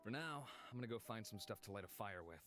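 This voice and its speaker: A man plays a practical, cautious traveler in a visual novel. His voice is on the low side and matter-of-fact, over clear music. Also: expressive, quick pace, natural voice. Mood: cautious, brisk. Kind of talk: visual novel character acting. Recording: music behind the voice.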